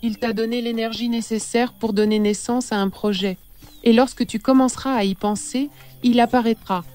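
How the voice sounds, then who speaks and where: Woman acting solemn female ritual guide, cartoon, measured teaching tone, mellow, echoey, music underneath. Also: on the high side, fairly even intonation, lightly acted. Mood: reassuring. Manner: measured, didactic. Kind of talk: solemn instructive dubbed cartoon dialogue. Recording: echoey reverberant hall, music behind.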